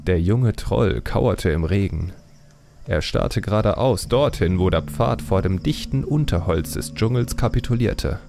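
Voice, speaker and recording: Slow, deep, gravelly male storyteller. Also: animated, darkish tone. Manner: measured, deliberate storytelling. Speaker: audiobook narrator. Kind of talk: slow dramatic scripted fantasy narration.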